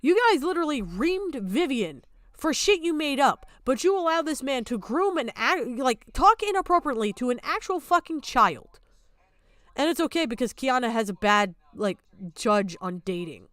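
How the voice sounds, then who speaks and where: A woman with a clear, fairly high voice rants on a livestream. She is blunt and indignant and swears freely. The sound is dry, with very little room in it. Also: very animated, moderate pace, fairly bright tone, dry recording. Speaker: streamer reacting to videos. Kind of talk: casual stream commentary and rant.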